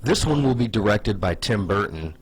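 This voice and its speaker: A man's voice: on the low side, animated, normal speed, poor audio. A man giving casual comedic commentary in a conversational way, with hesitant asides and plenty of "like". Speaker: online video host.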